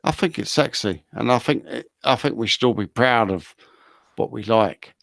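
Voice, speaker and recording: A man with a British accent telling casual, cheeky stories, often halting and repeating himself. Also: medium pitch, vocal fry, fairly bright tone, no room sound. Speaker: talk show regular. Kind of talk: casual bawdy storytelling.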